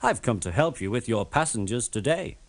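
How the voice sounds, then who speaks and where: A man's voice: medium pitch, animated, moderate pace, close to an everyday voice. A man voicing a friendly but boastful male bus in a children's storybook, teasing and breezy.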